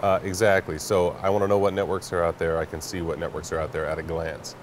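A man with a low voice explains a tech product as an interview guest. He is matter-of-fact and slightly hesitant, with clear warehouse noise around him. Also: monotone, fast talker. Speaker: network product company representative, guest. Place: large indoor warehouse staging space.

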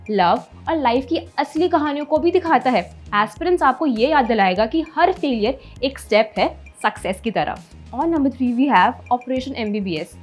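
Young woman presenter, breathy and fairly high, brisk scripted delivery over faint music. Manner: lively, mixes English into Hindi; gestures with her hands as she talks. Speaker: entertainment presenter. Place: studio, in front of a blue-purple backdrop.

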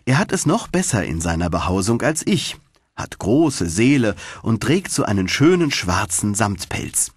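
A man's voice: lowish voice, sing-song, unhurried, breathy edge, no echo, studio quality, heavily acted. A man voicing a kindly, homely old female field mouse who likes to give advice in a storybook reading. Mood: kindly, persuasive.

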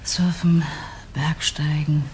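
An actress playing a composed, sympathetic police detective who questions gently in a crime radio play. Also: female voice, low-pitched, little pitch movement, takes it slowly, slight background noise. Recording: music playing behind the voice.

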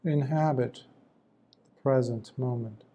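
A man guiding a meditation as a teacher, speaking gently in short phrases with long pauses between them. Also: medium pitch. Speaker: meditation teacher, Buddhist monk. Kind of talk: guided meditation, slow spoken instruction.

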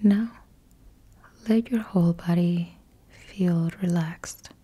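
A woman whispering slow, soothing guided yoga nidra instructions into a close mic. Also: lowish voice, mellow, slightly rough. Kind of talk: guided meditation.

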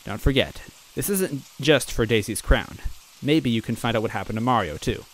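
A man voicing a spunky young female goomba guide, brisk and reassuring. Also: medium pitch, animated, a little hiss or hum, close to an everyday voice, rough recording. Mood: urging.

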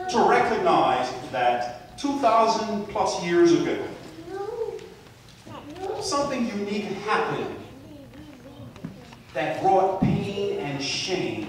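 A man in his forties from Barbados, preaching a sermon with a handheld mic, moving from soft storytelling asides to loud emphatic peaks. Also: sits high, far from the mic, noisy background. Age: 40s. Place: church sanctuary. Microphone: handheld mic, far camera. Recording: echoey church, captured from afar.

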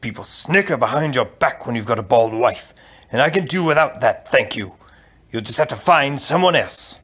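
A man voicing a vain, bad-tempered young prince in a storybook, blunt, loud and blustering. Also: medium pitch, expressive, moderate pace, husky. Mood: blustering, demanding.

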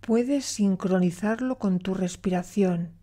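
Female meditation guide: mellow, relaxed tone, slow delivery, soothing, with long pauses. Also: medium pitch.

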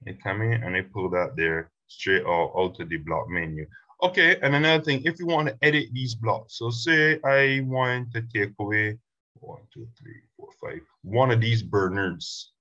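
A man walks through a software tutorial step by step in a relaxed, unhurried way, with plenty of ums along the way. His voice sits on the low side. The recording sounds muffled and lo-fi. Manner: relaxed step-by-step explaining with fillers. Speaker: instructor.

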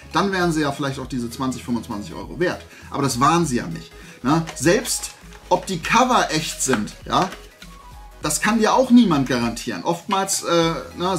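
A man chats casually to camera as a vlog host, blunt, opinionated and colloquial. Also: on the high side. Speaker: host of a collecting haul vlog. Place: small indoor room lined with collectibles.

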